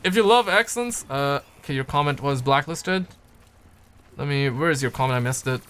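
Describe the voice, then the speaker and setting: A man's voice: medium pitch, lively intonation, moderate pace, fairly bright tone, clear, resonant tone. A young man from Pakistan, chatting casually on a livestream and answering viewer comments in a rambling, playful way that repeats words. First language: Urdu.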